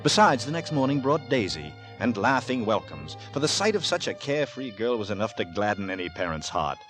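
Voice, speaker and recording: An actor as the measured, dryly wry storyteller narrating a 1940s radio suspense drama in a literary manner. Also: male voice, on the low side, very animated. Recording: vintage radio, music behind.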